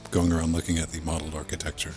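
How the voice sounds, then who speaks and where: A man's voice: rumbling low, completely flat delivery, rapid-fire, a little mellow, faint music behind. A man hosting a podcast, rambling casually with joking asides. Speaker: podcast host.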